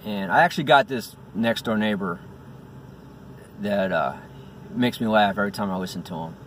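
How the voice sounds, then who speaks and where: A man's voice: lowish voice, normal speed, a bit bright. A middle-aged man talking casually and bluntly as an amateur comedian, with profane asides. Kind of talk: casual vlog with stand-up bits. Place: inside a parked vehicle. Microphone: phone or camera at arm's length. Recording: in-car, distant mic, faint noise.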